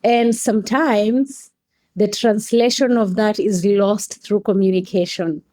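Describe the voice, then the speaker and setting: A woman's voice: medium pitch, fairly even intonation, a little slow, warm tone. A woman from Kenya with a Kenyan accent, explaining things articulately in a conversational interview as a guest. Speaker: podcast guest, coffee company staff member.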